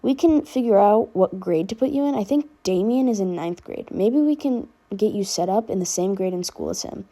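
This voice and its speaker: A woman's voice: medium pitch, dark tone. A woman voicing a protective, sardonic teenage boy who talks casually and affectionately in a fan fiction audiobook. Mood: reassuring, excited. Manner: casual, rambling teen talk with filler words.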